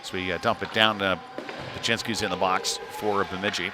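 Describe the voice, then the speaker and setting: A man doing live hockey play-by-play commentary in a halting delivery with mid-sentence pauses. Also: low-pitched, steady tone, loud music behind the voice. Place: indoor ice rink during a game. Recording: noisy rink sound behind the voice. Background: clear venue noise.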